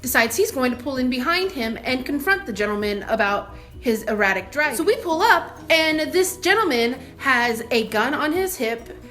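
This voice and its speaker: A young woman vlogger telling a personal story in a casual, animated and emphatic, indignant way. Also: high voice, normal speed, faint music behind.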